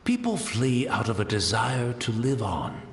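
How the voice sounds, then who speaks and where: An actor playing a blind, elderly male tea master in a video game, speaking gently and formally in a reflective, courteous manner. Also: mid-range voice, sing-song, measured pace, gravelly, echoey room, a little hiss or hum. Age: older.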